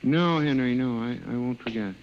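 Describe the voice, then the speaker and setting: A man's voice: medium pitch, moderate pace, slight background noise, close to an everyday voice. A man acting an anxious, obsessed computer scientist in a 1950s radio drama, intense and melodramatic. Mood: nervous, evasive. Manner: intense, melodramatic, swinging from hushed to frantic. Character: haunted, anxious male computer scientist, obsessed and near hysteria. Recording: dull 1950s radio recording with music.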